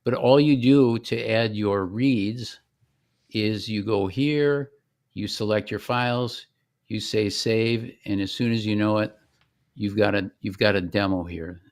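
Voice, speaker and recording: A man explaining software step by step in a conversational, informal product demonstration. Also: lowish voice, measured pace.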